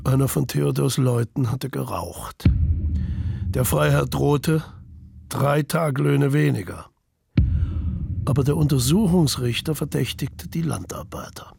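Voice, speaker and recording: Very gravelly male radio drama narrator. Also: mid-range voice, a little slow, a little mellow, dry recording. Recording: noticeable ambient background noise.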